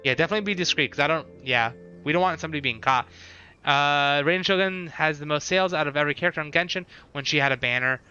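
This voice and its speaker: A man's voice: fairly high pitch, quick pace, bright, forceful tone, smooth. A man giving casual, offhand live stream commentary, reacting to a game and chat, slightly dull sound with music behind. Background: faint game music.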